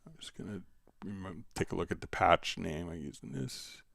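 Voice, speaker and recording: A man hosting a casual software tutorial, thinking aloud and hesitating as he demonstrates. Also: deep voice, animated, moderate pace, vocal fry, no room sound. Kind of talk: casual screen-share software tutorial, live stream.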